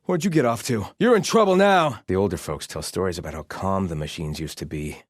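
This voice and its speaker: A man's voice: sits low, expressive, a little fast, breathy edge, dry recording. A grim, devout soldier from a video game, heard very dry and close. He is stern and scornful, a hardened believer in an exiled army. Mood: low, menacing. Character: gruff, devout male soldier of an exiled sun-worshipping army. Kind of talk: video game character voice lines.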